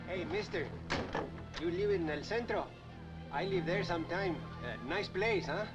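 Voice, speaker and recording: A man's voice: high-pitched, moderate pace, close to an everyday voice. An actor playing a chatty, friendly male passer-by in a 1950s film. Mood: friendly, curious. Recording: muffled old film soundtrack, loud music.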